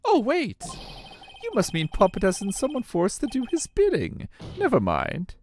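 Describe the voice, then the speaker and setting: A man voicing an excitable elderly male judge who gets flustered and confused easily, in a fan dub of a courtroom game. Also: high-pitched, unhurried, slight background noise, pitched above the performer's own voice, exaggerated voice.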